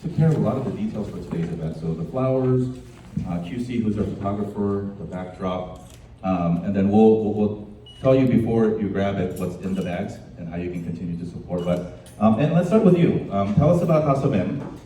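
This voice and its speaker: A man moderates a panel in an informal, halting way. His voice is deep and very dark. The space around him echoes heavily, and he sounds distant from the microphone. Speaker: event host and panel moderator. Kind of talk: moderating a live panel discussion. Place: indoor department store event. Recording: roomy echoing event space, distant mic, faint noise.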